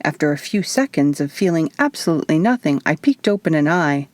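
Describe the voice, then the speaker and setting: A woman's voice: sits low, expressive, fairly quick, darkish tone, no room sound. A woman narrating first-person fiction as an audiobook reader, in a scripted, storytelling style.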